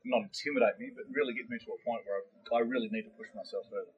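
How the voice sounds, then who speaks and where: Fast-talking middle-aged Australian man. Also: mid-range voice, steady tone, breathy edge, lo-fi sound. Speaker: gym client giving a testimonial. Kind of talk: casual personal story.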